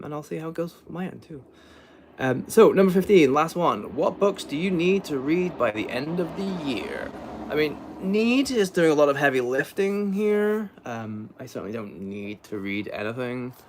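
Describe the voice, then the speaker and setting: Male book livestreamer, gravelly and animated in intonation, unscripted, rambling, halting delivery. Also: mid-range voice, a little hiss or hum. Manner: rambling, halting, self-correcting, trailing off. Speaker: book vlogger hosting a livestream. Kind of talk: casual unscripted livestream chat.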